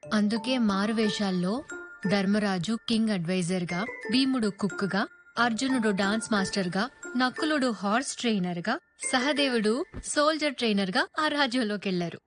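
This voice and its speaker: A woman's voice: medium pitch, normal speed, over music, natural voice. An actress playing a caring but firm mother in naturalistic film dialogue. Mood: patient. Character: caring, firm mother telling children stories.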